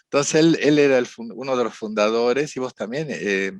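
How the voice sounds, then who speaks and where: A man with an Argentine accent, a psychotherapist telling his story in an interview, reflective and halting, often restarting his sentences. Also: mid-range voice, dry recording. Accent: Argentine Spanish. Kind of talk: conversational storytelling.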